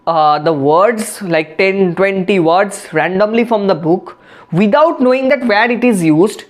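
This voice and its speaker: A woman's voice: mid-range voice, unhurried. A woman with an Indian English accent, a native Bengali speaker, giving a spoken English lesson and explaining with many restarts and fillers. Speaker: English teacher.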